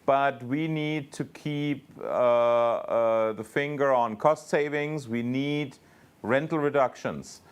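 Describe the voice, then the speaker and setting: A man speaking as a company executive, answering investor questions off the cuff in a halting, emphatic way with repeated words. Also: mid-range voice, clean tone. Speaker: company executive on an earnings call.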